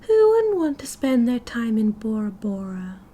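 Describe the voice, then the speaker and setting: A woman's voice: a little high, very animated, warm tone. A British woman playing a cheerful, oblivious and possessive yandere girlfriend in an ASMR roleplay, with a breezy, giggly, dramatic manner. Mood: blithe, reassuring. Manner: giggly, breezy, dramatic, chatty with drawn-out casual delivery.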